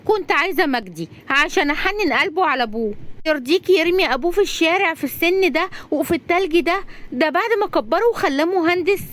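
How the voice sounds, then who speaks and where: A woman's voice: very high-pitched, expressive, quick pace, fairly bright tone, voice pushed up. A woman voicing a feisty twenty-five-year-old woman in an audio story, emotional and insistent as she pleads and scolds. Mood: pleading.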